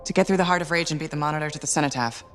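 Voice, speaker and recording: A woman's voice: deep voice, very fast, soft background music, close to an everyday voice. A woman playing a brave, level-headed mercenary in a video game, speaking in a casual, dry and composed way. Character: brave, level-headed, dryly humorous female exosuit mercenary. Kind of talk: video game cutscene acting.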